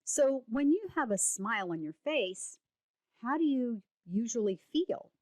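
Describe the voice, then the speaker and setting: A woman's voice: a little high, lively intonation, unhurried, a little mellow. A woman reading a picture book aloud to children, explaining simply and asking guiding questions. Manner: simple, explanatory, addressing young listeners. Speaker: read-aloud narrator.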